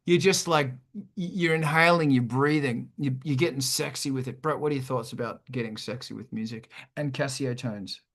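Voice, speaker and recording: A man's voice: mid-range voice, normal speed. A man casually teaching music production as a show host, with a sweary, irreverent manner. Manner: sweary, irreverent, animated explaining.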